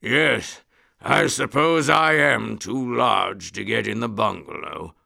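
A big, gentle circus lion from a children's storybook. He speaks very slowly in a gravelly rumble, loud but courtly and apologetic. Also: dry recording, clean studio sound, heavily acted. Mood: eager to help.

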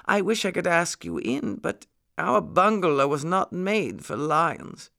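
Muskrat lady as done by a man: high-pitched, plaintive, fussy, ailing housekeeper from a children's animal tale. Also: animated, measured pace, gravelly, sharp tone, full character voice. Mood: polite, apologetic.

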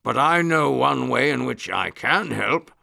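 A man voicing a big, polite male circus lion for a storybook, with a loud rumbling voice and a courtly, apologetic manner. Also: lively intonation, a little slow, gravelly, dry recording, clean studio sound, exaggerated voice. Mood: eager to help.

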